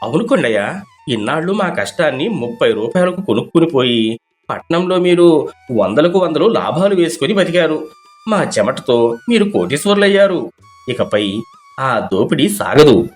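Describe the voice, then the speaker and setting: A man voicing a proud, calm village husband who speaks in a firm, measured, dignified way. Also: a little high, faint music behind. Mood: defiant.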